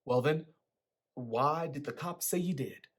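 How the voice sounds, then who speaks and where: A man voicing a stern, exasperated father who lectures his son forcefully in a book reading. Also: on the high side, a little slow. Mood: disappointed. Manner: forceful, emphatic, interrogating.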